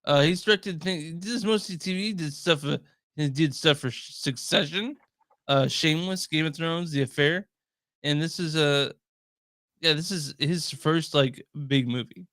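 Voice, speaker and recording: A man's voice: fairly high pitch, moderate pace, a bit bright, no echo, clean studio sound. A man co-hosting a podcast, chatting casually and informally with some swearing. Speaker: podcast co-host.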